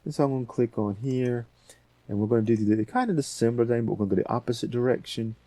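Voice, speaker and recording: A man giving a casual software tutorial as host, rambling a little and thinking aloud as he talks through steps. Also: medium pitch, quick pace, dry recording.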